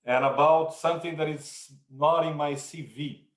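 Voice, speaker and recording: A man's voice: fairly high pitch, steady tone, normal speed, a bit bright. Brazilian-accented man on an echoey video call. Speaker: panelist. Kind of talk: casual panel introduction.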